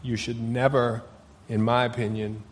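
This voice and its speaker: A man answering council members at a public meeting, measured and deferential. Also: mid-range voice, little pitch movement, long reverb tail. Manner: measured, deferential, says yes ma'am. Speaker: city official answering council. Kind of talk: formal testimony at a public meeting.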